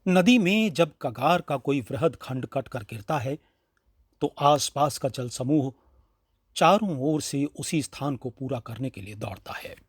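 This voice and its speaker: A man reading a literary short story as its narrator, in an expressive storytelling style. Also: medium pitch, a little slow, slightly rough, dry recording, clean studio sound.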